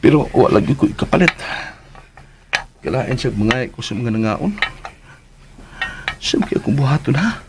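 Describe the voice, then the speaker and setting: A man's voice: mid-range voice, lively intonation, normal speed, long pauses, close to an everyday voice. An actor playing a naive, anxious young provincial man in a radio drama, with a Visayan Philippine accent and a plaintive, pleading manner. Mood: hungry, downcast. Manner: plaintive, pleading, talks to himself. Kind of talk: radio drama acting in Cebuano. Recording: radio drama with background noise.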